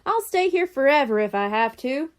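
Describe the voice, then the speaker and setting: Storybook role: stubborn, earnest small boy played by a woman, high voice, very animated. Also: moderate pace. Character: determined, stubborn young boy, curious and earnest.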